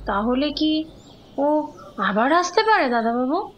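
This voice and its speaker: A woman's voice: sits high, lively intonation, takes it slowly, fairly bright tone, slight background noise, heavily acted. A woman acting as a curious, respectful village wife in an audio drama. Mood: anxious. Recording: muffled audio with background music.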